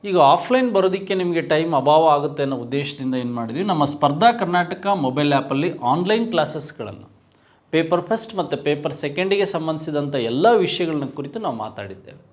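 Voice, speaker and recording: A man gives an exam coaching history class in a fairly high voice. He explains step by step, poses rhetorical questions and repeats key names and dates for his students. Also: animated, fast talker. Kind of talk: exam-prep history lecture.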